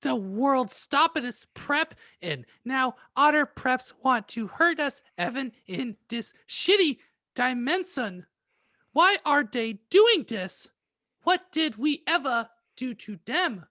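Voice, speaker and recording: A woman's voice: sits high, animated, slow, bright, forceful tone, no echo, studio quality, heavily acted. A woman playing an angsty, foul-mouthed goth teenage girl in a melodramatic, slangy comic reading. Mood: aggrieved, gloomy.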